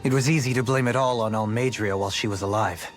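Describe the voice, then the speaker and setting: An actor playing a refined, solemn nobleman in a video game, speaking in a measured, formal way. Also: fairly low pitch, fairly even intonation, faint music behind, close to an everyday voice. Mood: solemn reflection. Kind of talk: dramatic video game acting.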